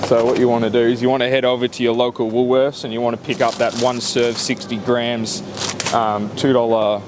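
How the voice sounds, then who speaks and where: A man's voice: mid-range voice, little pitch movement, fairly quick. A young Australian man clowning casually as a mock vlog presenter, jokey and blokey. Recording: very noisy handheld vlog audio.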